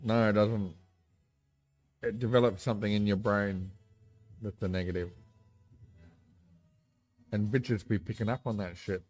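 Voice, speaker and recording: A man's voice: on the low side, steady tone, unhurried, pauses often, a little mellow, soft background music. A drunk man in his twenties teasing his friend bluntly and swearing in casual vlog banter. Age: 20s. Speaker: vlog co-host.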